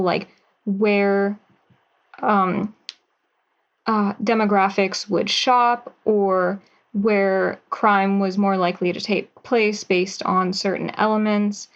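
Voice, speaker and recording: A woman's voice: mid-range voice, fairly even intonation, slow pace. A chatty young woman on a lo-fi line. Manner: chatty, upbeat, with light laughter. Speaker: guest writer and actress. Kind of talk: casual interview.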